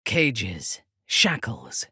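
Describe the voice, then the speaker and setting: A cold, menacing dark elf commander for a fantasy game. Her voice is low and husky, and she speaks slowly and deliberately, with wide, dramatic swings in pitch. She sounds imperious and disdainful. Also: wildly swooping pitch, no room sound, clean studio sound, exaggerated voice. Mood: dry, composed. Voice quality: husky, breathy. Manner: slow, deliberate, imperious, precise.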